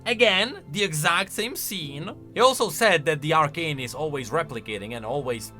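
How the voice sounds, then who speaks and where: A man giving casual, conversational reaction commentary as a video host, with an animated, questioning manner. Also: a little high, moderate pace, faint music behind. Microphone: close studio-style microphone.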